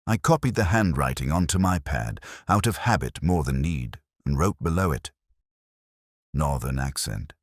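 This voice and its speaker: A man narrating a scripted first-person detective story in a measured, brooding, literary manner. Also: extremely low pitch, no room sound, clean studio sound.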